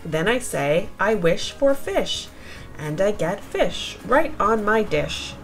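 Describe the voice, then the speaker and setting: A woman voicing a proud, content wishing creature from a storybook in measured rhythmic rhyme. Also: medium pitch, big pitch swings, soft background music, rough recording. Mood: pleased.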